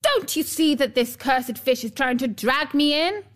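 A woman's voice: on the high side, measured pace, natural voice. A woman voicing an irritable, rude little old male dwarf from a storybook, snapping and scolding. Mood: furious. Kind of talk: storybook character voice.

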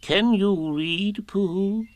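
A man's voice: sits high, drawn-out words, pitched above the performer's own voice. A man voicing a pompous old male owl who shows off what he knows, in a storybook read-aloud. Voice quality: fussy, self-important.

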